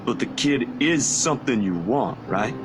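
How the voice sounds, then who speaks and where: A man's voice: mid-range voice, lively intonation, normal speed, background noise, close to an everyday voice. A man voicing an easygoing photographer friend in a visual novel, casual, reassuring and slightly hesitant. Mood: teasing, casual. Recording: music behind the voice.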